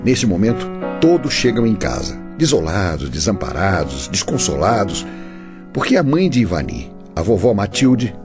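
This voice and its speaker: A man's voice: on the low side, sing-song, normal speed. A man with a Brazilian accent giving playful scripted narration for a comedic radio drama. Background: music.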